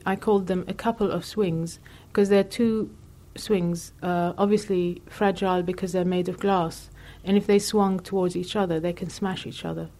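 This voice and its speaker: Reflective low-voiced woman artist. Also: dark tone. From Lebanon. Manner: reflective, thinking aloud with many fillers. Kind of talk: interview answers.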